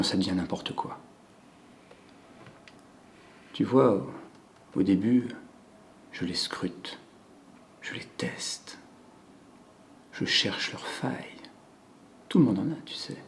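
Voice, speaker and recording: A man performing a theatrical monologue as a cold, controlling psychopathic killer who savors his confession in a hushed, deliberate way. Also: low voice, exaggerated voice. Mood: menacing, controlled. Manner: slow, hushed, deliberate delivery with drawn-out pauses. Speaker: acting student performing a monologue.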